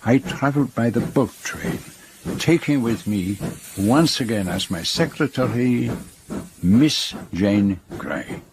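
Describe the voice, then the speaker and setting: Formal, shrewd foreign gentleman detective. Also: medium pitch, expressive, background noise. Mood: reflective. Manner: formal, precise, measured questioning. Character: shrewd, courteous, self-assured foreign male detective. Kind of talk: radio drama acting and first-person narration.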